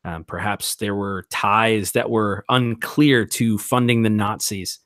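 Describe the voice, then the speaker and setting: A man playing a roleplaying game, improvising his story in a halting, deliberate way as he thinks aloud. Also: on the low side, fairly even intonation, a bit bright, dry recording, clean studio sound.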